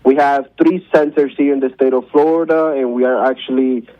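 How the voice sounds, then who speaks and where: A man's voice: medium pitch, fairly even intonation, fairly quick, no room sound, phone-quality sound. A man in his thirties from Cuba, calling in as a guest and chatting in a friendly, upbeat way. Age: 30s. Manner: upbeat, friendly, repeats casual phrases. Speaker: call-in guest. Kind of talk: casual phone chat.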